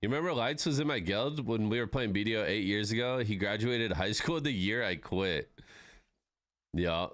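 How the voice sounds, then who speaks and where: A man's voice: on the low side, moderate pace, a bit bright, no room sound, studio quality. A man in his thirties chatting casually with his live-stream viewers, laid-back and swearing freely. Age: 30s.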